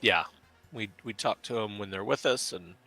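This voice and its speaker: Low, bright-toned man from Dallas. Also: moderate pace, faint music behind. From Dallas, Texas. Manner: hesitant, many fillers and restarts. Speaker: guest, business owner. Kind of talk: casual interview chat.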